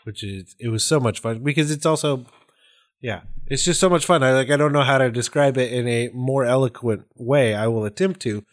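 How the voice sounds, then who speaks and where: A man's voice: mid-range voice, a little fast. A man hosting a casual podcast interview, talking in an effusive, somewhat rambling way.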